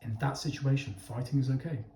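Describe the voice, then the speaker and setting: A man's voice: mid-range voice, fairly even intonation, a little fast, warm tone, slight background noise. A young man giving a casual opinion monologue to his viewers, blunt, emphatic and sweary, often checking in with "okay?". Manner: addresses listeners directly, with repeated "okay?" checks. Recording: echoey, roomy sound.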